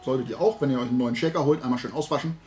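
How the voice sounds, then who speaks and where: A man's voice: medium pitch, very fast, darkish tone, faint music behind. A middle-aged man chatting casually and colloquially as the host of a hobby video, rambling along in a loose, talkative way. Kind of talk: casual chat, product tasting and recommendations. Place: room at home lined with shelves of toy figures.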